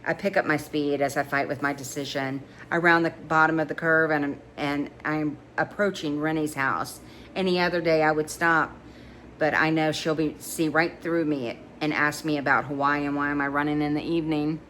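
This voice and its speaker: A woman doing an animated, informal read-along of romance fiction as narrator, stumbling over words now and then. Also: deep voice, normal speed, rough recording. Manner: stumbles over words and giggles while reading. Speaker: narrator reading a novel aloud. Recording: clear background noise.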